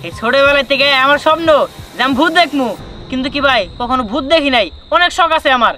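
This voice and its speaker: A man's voice: extremely high pitch, fast, pushed and bright, soft background music. A man playing an eager, curious young man in a village drama, speaking casually.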